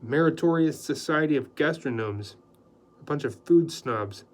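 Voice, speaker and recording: A man doing a wry, low-voiced journalist. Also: expressive, unhurried.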